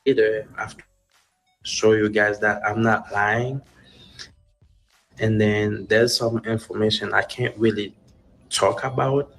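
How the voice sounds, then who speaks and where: A man from Haiti giving earnest personal testimony in a serious, measured way. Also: on the low side, fairly even intonation, fairly bright tone, faint music behind.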